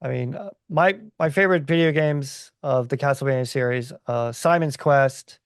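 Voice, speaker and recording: Gravelly-voiced man talking on a podcast. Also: mid-range voice, normal speed, dry recording, clean studio sound. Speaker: podcast co-host or guest. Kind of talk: casual podcast discussion.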